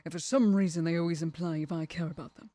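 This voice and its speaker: A woman's voice: on the low side, steady tone, fast, dark tone, no echo, natural voice. A woman playing an irritable, stubborn assassin in an ASMR audio roleplay, blunt and dismissive in her delivery. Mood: exasperated, dismissive. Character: irritable, stubborn female assassin, undead and aloof.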